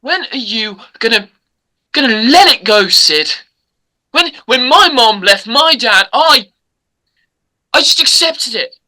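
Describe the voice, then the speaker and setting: Man as wounded, pushy teen boyfriend; very bright, high voice, slow halting delivery, table-read drama. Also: lively intonation, exaggerated voice. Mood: insistent. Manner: halting, stammering, repeats words. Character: accused teenage boyfriend, pleading, wounded and pushy. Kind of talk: amateur screenplay table read.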